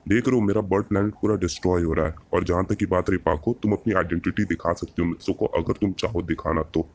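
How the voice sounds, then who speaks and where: A bossy cartoon bird-man from another planet, acted by a man, speaks in a lowish voice. He is a commanding superhero mentor who lectures with pointed questions. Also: fairly even intonation, fast. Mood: placating.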